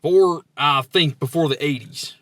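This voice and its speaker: A man's voice: a little high, measured pace, crowd noise. A man hosting a comedy podcast in casual banter, with a folksy, rambling, playfully naive way of talking.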